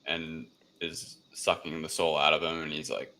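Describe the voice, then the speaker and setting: A man with a deep, bright-edged voice chats casually through a film recap. He rambles and feels his way along haltingly, swearing freely as he goes. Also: flat delivery. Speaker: podcast co-host.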